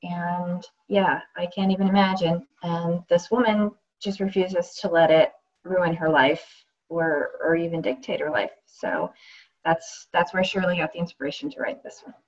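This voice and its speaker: A woman's voice: lowish voice, moderate pace, a little mellow. A woman chatting casually as the guest reader on a show. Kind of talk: casual conversation. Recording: dull, lo-fi sound.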